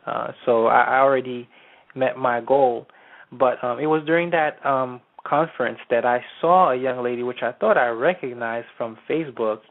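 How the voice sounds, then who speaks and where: A man hosting an internet radio show, introducing his guest in a hesitant, casual way with many pauses. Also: mid-range voice. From Haiti. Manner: hesitant, many ums and restarts. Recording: tinny phone-line audio.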